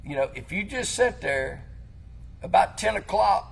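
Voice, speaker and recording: A man with a Tennessee Southern accent and a hoarse voice, chatting casually and folksily as host of an outdoors talk show. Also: high voice, lively intonation, measured pace. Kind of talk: casual hunting chat.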